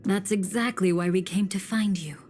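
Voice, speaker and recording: A woman's voice: mid-range voice, steady tone, moderate pace, a little mellow, slight background noise, lightly acted. A woman playing a mysterious, knowing woman in a video game, composed and articulate with a slight teasing confidence. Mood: composed, persuasive. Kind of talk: scripted game voice acting.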